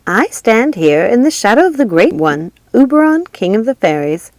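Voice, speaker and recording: Woman playing a grand old fairy godmother, formal speechmaking, raised pitch, dry sound. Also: very animated, normal speed, a little mellow, dry recording. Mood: ceremonious. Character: stately elderly female fairy godmother headmistress.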